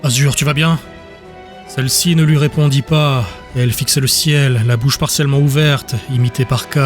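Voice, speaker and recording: A man's voice: mid-range voice, steady tone, moderate pace, soft background music. A man reading scripted dramatic narration as the narrator of an audiobook.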